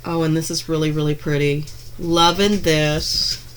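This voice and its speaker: Chatty woman gushing over beads, slightly hoarse. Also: low-pitched, flat delivery, a little slow, a little hiss or hum. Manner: chatty, gushing, with frequent ums and restarts. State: slightly hoarse from being under the weather. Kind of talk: casual unboxing narration. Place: home craft room.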